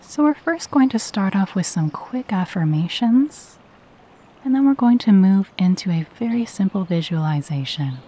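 A woman guiding a meditation in a soft, breathy voice, with a gentle, soothing and unhurried delivery. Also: mid-range voice, animated. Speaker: meditation guide. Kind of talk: guided meditation with spoken affirmations.

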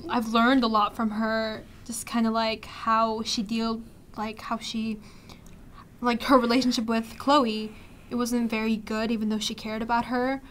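A teenage young woman, a student actor, chats casually in a panel interview, halting and leaning on "like". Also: on the high side, flat delivery, a little hiss or hum. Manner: halting, frequent "like". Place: indoor panel, seated group. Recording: faint background ambience.

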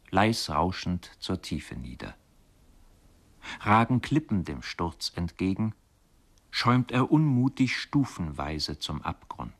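An actor as a solemn reciter of classical poetry in a radio production. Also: male voice, low-pitched, heavily acted.

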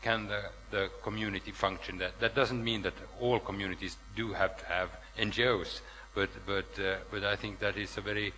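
A middle-aged man on a panel, speaking deliberately with many fillers, on a conference hall stage. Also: lowish voice, fairly even intonation, sharp tone. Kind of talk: panel discussion answer. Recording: reverberant hall with faint hum.

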